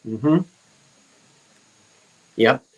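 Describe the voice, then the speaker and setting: A man's voice: medium pitch, drawn-out words, a little mellow, muffled recording. Chatty rambling man hosting a live stream. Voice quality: frequent fillers and self-corrections. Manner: chatty, rambling, reads out viewer comments. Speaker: live-stream auction host. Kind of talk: casual live-stream chat.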